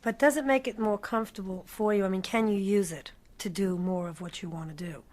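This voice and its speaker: A woman's voice: mid-range voice, fairly quick, a little mellow. A woman interviewer asking chatty, friendly questions in a casual conversation.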